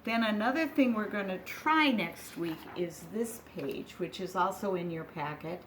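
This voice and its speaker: A woman teaching young children over a video call, talking in a slow, patient, sing-song way. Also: medium pitch, a bit bright, a little hiss or hum. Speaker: preschool teacher.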